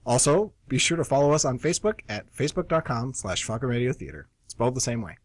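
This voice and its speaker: Male radio theatre host, mid-pitched and very animated, informal presenting style. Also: fast talker.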